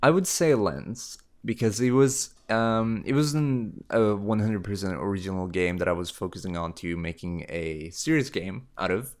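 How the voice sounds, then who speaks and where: A young man in his late teens with a very low voice, chatting through viewer questions. His talk rambles loosely, full of ums and restarts. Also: moderate pace, few pauses. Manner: rambling, with many fillers and restarts. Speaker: YouTube creator. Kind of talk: casual Q&A chat.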